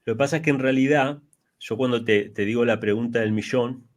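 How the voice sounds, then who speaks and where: A man's voice: medium pitch, brisk. Man in his forties, emphatic explainer. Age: early 40s. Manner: emphatic, repeats phrases, rhetorical questions. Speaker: interviewee, Bible teacher. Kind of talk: explanatory religious interview.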